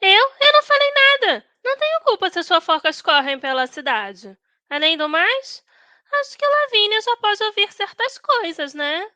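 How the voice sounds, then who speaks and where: A very high, bright and lively voice in a dry recording: a cheeky maidservant from a comic audio drama. She gossips, whines and talks back with insolent, defensive exclamations. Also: normal speed, no echo, dull audio, full character voice. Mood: feigned innocence. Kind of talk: comedic audio drama acting.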